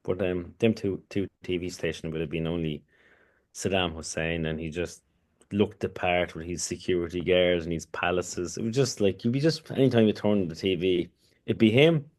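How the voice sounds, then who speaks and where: Low-voiced man, rambling. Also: normal speed, a little mellow. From Kurdistan, Iraq. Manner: rambling, with many restarts and "you know". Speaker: podcast guest. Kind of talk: casual conversational interview.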